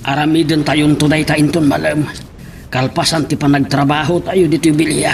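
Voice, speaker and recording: A man's voice: on the high side, level tone, moderate pace, gravelly, slight background noise. An actor playing an earnest older village farmer and father with a Filipino accent in a radio drama. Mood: cautious, worried.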